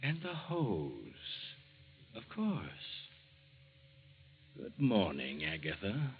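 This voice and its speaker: An actor playing a meek, henpecked failed-artist husband in 1940s radio acting, hesitant and subdued. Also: male voice, lowish voice, huge pitch swings, drawn-out words, a little hiss or hum, full character voice. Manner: hesitant and subdued, turning bitter. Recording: dull old radio broadcast recording.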